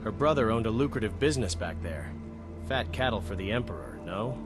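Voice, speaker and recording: Earnest elf adventurer, acted by a man, lowish voice, reflective and measured game dialogue over faint music. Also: animated, lightly acted. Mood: earnest, wistful. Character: male wood elf companion, earnest lovelorn adventurer. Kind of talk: scripted game companion dialogue. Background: game music behind the voice.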